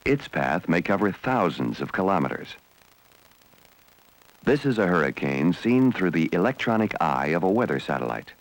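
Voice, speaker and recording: An American man reading scripted educational film narration in a measured, formal, serious manner. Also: low-pitched, very animated, no echo, poor audio. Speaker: documentary narrator.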